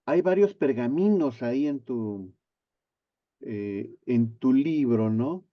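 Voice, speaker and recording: A man's voice: medium pitch, animated, slow pace, dry recording, lo-fi sound. A man hosting a casual talk with a friend, speaking hesitantly with many fillers. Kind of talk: casual conversation over a call.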